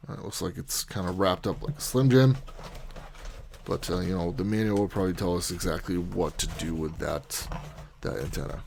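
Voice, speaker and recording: A man's voice: low-pitched, normal speed, vocal fry, darkish tone, a little hiss or hum. A man hosting a casual unboxing video, talking informally and explaining things step by step. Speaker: video host, product unboxer.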